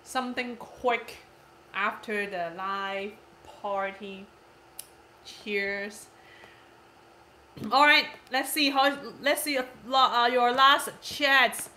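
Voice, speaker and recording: Cantonese-native woman in her 30s, slightly high voice, chatty live stream host, far camera mic. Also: steady tone, very slow, pushed and bright, a little hiss or hum. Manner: chatty and giggly, repeats herself. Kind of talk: casual live stream chat reading comments. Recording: echoey room.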